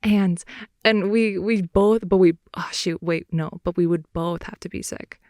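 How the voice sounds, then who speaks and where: A young woman playing a playful, clingy girlfriend in an improvised ASMR roleplay, rambling softly and intimately close to the mic. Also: on the low side, fairly even intonation, unhurried, dry recording, close to an everyday voice. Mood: scheming, coaxing. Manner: soft, intimate, rambling, giggly, often dropping to whisper. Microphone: close headphone-oriented ASMR mic.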